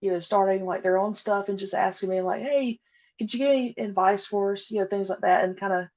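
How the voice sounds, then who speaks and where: A woman being interviewed about her audio drama, speaking hesitantly with many pauses and fillers. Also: medium pitch, steady tone, darkish tone. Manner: hesitant, many ums and uhs. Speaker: podcast guest, audio drama creator. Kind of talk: casual interview chat. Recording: dull lo-fi audio.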